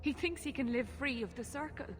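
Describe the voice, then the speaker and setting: A woman's voice: high-pitched, a little fast, slight background noise, lightly acted. An actress playing an anxious elven mother who pleads earnestly for help finding her son, in a game cutscene.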